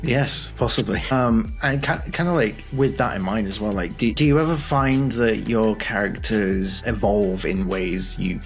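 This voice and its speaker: A man with a lowish, gravelly voice full of fry hosts a casual interview. He speaks informally, piecing his thoughts together in loose fragments. Music plays faintly underneath. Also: unhurried, few pauses. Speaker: podcast host, interviewer.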